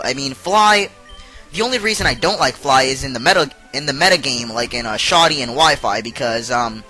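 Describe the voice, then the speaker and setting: Bright-voiced young man with a cold. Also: a little high, rough recording. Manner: chatty, rambling with many fillers. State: sick, voice partly lost. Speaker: let's play host. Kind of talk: casual game commentary.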